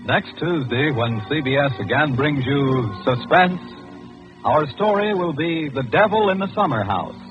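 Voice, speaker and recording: A man's voice: a little high, animated, unhurried. An American man reading scripted announcements in the formal style of 1940s radio. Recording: dull old radio broadcast recording. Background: music.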